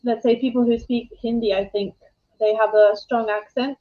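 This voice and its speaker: A woman chatting as an online English tutor on a video call, friendly and quick to laugh. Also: a little high, steady tone, muffled recording. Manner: friendly, laughs easily, hesitant fillers. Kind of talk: casual video-call chat.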